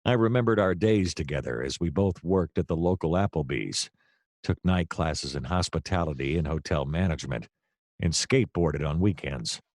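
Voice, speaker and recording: An actor playing a hapless hard-boiled private detective, narrating his case in a wry, deadpan noir radio-play style. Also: a bass voice, normal speed, no echo, studio quality.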